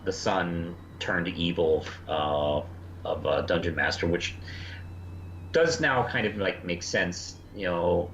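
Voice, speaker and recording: Halting, very deep-voiced man in a roomy recording. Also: fairly bright tone. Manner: halting, hesitant, often restarting sentences. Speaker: podcast co-host reviewing cartoons. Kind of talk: casual review chat. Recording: roomy, muffled, noisy home recording.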